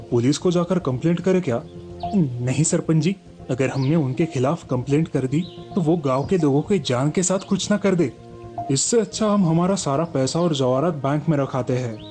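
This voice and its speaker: Worried village men in a children's tale , all done by one male storyteller. The voice is soft and mellow, slightly high, with music under it. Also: lively intonation, normal speed, natural voice.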